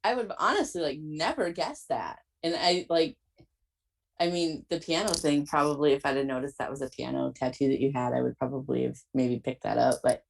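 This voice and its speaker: A woman's voice: deep voice, fairly quick, creaky, poor audio. A woman hosting a podcast in casual interview chat, effusive and informal, often saying "like". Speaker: podcast host.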